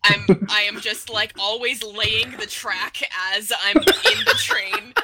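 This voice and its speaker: A woman's voice: medium pitch, fairly even intonation, measured pace, over a noisy crowd. A woman panelist in a casual chat, speaking informally and with self-deprecating humor. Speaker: podcast panelist.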